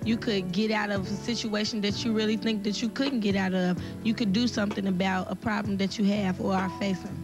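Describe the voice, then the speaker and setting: A young woman speaks casually in an interview, with very little pitch movement. Music plays clearly behind her. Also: steady tone, fairly quick, few pauses. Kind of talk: casual vox-pop interview.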